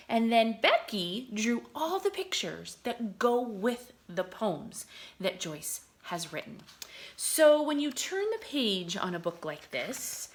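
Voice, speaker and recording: A woman teacher reading poetry aloud to young children, explaining as she goes and putting questions to her listeners in an expressive way. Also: mid-range voice. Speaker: kindergarten teacher reading to pupils. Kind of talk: read-aloud lesson for young children, explaining and reciting poems.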